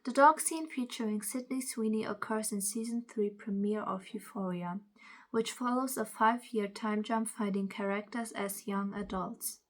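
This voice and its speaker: A woman's voice: medium pitch, moderate pace. A woman who is not a native English speaker, with a non-native accent, giving casual opinion commentary and reading aloud, often stumbling over words and correcting herself. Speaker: commentary video host.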